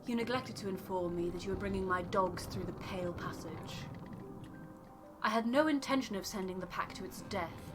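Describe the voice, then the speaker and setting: A proud dog breeder in a narrative game, acted out by a woman. She speaks formally and bluntly in a medium-pitched voice, exacting and haughty. Music is clearly audible behind her. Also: moderate pace, natural voice. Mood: indignant.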